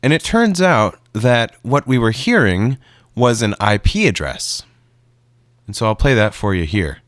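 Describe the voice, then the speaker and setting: A man's voice: lowish voice, sing-song, a little slow, fairly bright tone. A man giving a casual, conversational explanation to viewers in the manner of a hobbyist video creator.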